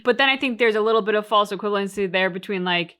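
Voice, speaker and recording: A woman's voice: mid-range voice, fast, a bit bright. A woman co-hosting a game reaction show, talking casually and analytically about the story.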